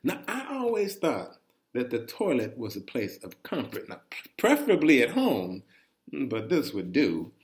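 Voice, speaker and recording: A man with a medium-pitched voice tells a personal story as if talking to a friend. His delivery is folksy and animated. He often turns aside to speak straight to the listener. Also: unhurried. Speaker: reader of a personal chronicle. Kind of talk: conversational first-person storytelling.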